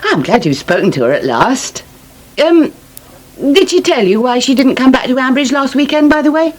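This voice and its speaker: A woman's voice: high voice, very animated, normal speed. An actress playing a fretful, fond middle-aged English farmer's mother in a naturalistic radio soap. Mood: probing, fond. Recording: faint noise, 1980s radio drama.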